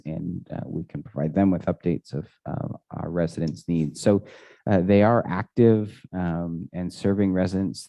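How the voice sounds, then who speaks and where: A man's voice: low-pitched, warm tone, dry recording. A man answering residents' questions at a community meeting, hesitant and full of fillers and restarts. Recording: dull, dry lo-fi audio.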